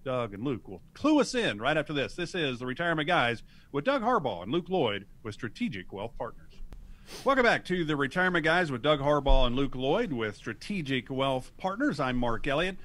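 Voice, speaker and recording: A man's voice: medium pitch, very animated, brisk, a bit bright. A male radio co-host talks from a studio mic. His delivery is upbeat and announcer-like as he reads out details for listeners. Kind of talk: radio talk show banter. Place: broadcast studio.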